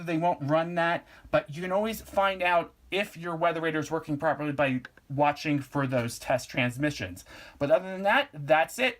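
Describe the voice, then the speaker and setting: A man from Pennsylvania hosting a casual how-to video, giving relaxed, plainspoken step-by-step instructions. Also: on the high side. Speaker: product reviewer and tutorial host.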